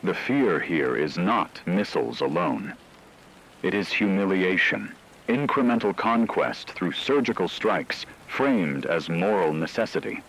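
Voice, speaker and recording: A man playing a grave radio news anchor, reading a scripted emergency broadcast in a measured, solemn, deliberate way, over a noisy recording. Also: low-pitched, animated, a bit bright, breathy edge, exaggerated voice.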